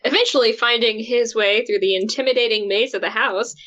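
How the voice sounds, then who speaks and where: High-voiced woman reading with giggles. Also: fairly quick, sharp tone, muffled recording. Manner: reads aloud, breaking into frequent giggles. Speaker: podcast co-host reading the story aloud. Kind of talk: casual comedic read-along.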